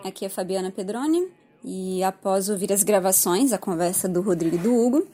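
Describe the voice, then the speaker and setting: A woman's voice: mid-range voice, a little fast, warm tone, faint music behind. A woman with a Brazilian accent giving an informal, reflective spoken commentary with a lightly self-deprecating manner. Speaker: art history teacher.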